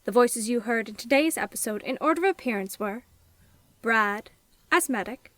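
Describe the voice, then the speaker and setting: Lively high-voiced woman announcer. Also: normal speed, dry recording. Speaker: credits announcer. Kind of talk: scripted narration.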